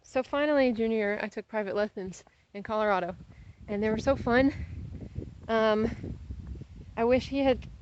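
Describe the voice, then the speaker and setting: A woman's voice: on the high side, moderate pace, a little mellow, dull audio, a little hiss or hum. A young American woman chats casually while walking outdoors, rambling with playful exaggeration and easily sidetracked. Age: young adult. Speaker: vlogger.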